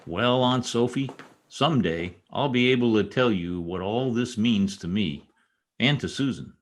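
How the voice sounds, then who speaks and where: A man's voice: medium pitch, a little slow, natural voice. A man acting a wry, scheming newspaper reporter in an old-time radio comedy, with a theatrical delivery. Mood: mock romantic.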